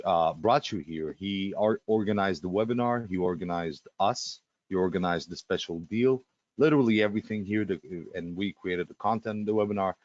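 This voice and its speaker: A man's voice: on the low side, lo-fi sound. A man with a Russian accent hosting a live webinar, chatty and full of fillers and restarts as he talks to the attendees. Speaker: webinar host.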